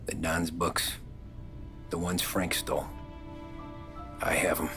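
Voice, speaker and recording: A male actor playing a weary, guarded mobster turned informant in a video game, speaking in terse, measured, understated phrases. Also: deep voice, monotone, music in the background. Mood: guarded, bargaining. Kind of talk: video game cutscene acting.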